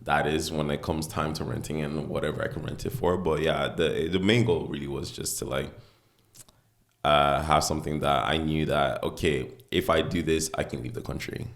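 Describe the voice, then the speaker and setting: A man in his twenties with a deep voice, co-hosting a podcast in a casual, rambling chat from a home kitchen. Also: fairly even intonation, moderate pace, gravelly. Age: 20s. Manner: rambling, full of "like" and "you know". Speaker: podcast co-host. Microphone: speaking close into a mic.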